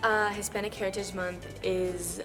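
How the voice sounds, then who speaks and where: A woman's voice: mid-range voice, little pitch movement, moderate pace, music in the background. A teenage girl from Brazil giving plain interview answers with occasional fillers. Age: teens. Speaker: interviewed student.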